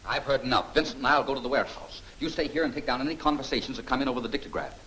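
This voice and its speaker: Brisk, assured 1940s serial hero played by a man. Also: medium pitch, bright, forceful tone, close to an everyday voice. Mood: confident. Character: confident male scientist-detective hero, brisk and unruffled. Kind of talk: 1940s film serial acting. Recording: faintly noisy old 1940s film soundtrack.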